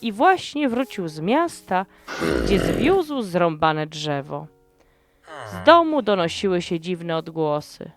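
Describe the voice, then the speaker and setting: Woman narrator, slow dramatic storytelling, very unhurried, music underneath. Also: fairly low pitch, huge pitch swings. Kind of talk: first-person storytelling narration. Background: background music.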